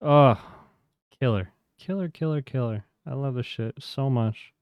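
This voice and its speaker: A man's voice: mid-range voice, unhurried, darkish tone, smooth, dry recording. A man co-hosting a casual album-review podcast, gushing with enthusiasm and swearing freely, his voice worn from a long session. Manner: enthusiastic, swearing, laughing often. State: losing his voice. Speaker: podcast co-host. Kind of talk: casual music review chat.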